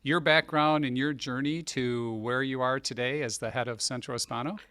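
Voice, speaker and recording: A man's voice: mid-range voice, steady tone, moderate pace, bright, forceful tone, no echo, clean studio sound. A man hosting a podcast interview, speaking in a friendly, appreciative, easygoing way. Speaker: podcast host, county executive. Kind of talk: casual interview.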